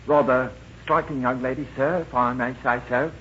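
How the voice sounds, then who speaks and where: An actor playing a deferential, officious ship's steward in a 1940s radio comedy, formal and obsequious. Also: male voice, mid-range voice, steady tone, slow, a bit bright, a little hiss or hum. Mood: confidential. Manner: formal, obsequious, addresses superiors as sir. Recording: muffled vintage radio recording.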